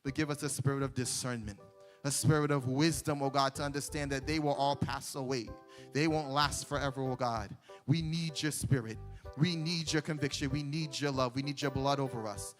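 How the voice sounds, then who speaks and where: A man's voice: mid-range voice, fairly even intonation, moderate pace, faint music behind. A man leading a fervent congregational prayer in a church sanctuary, punctuating each phrase with "oh God" and "oh Lord". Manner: repeats "oh God" between phrases, rising and falling intensity. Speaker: worship leader praying.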